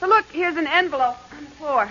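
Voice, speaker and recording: Eager, bright high-voiced radio sweetheart. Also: female voice, quick pace. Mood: curious, impatient. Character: eager, curious young woman, detective's sweetheart. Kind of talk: 1940s radio drama acting. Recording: dull old radio recording.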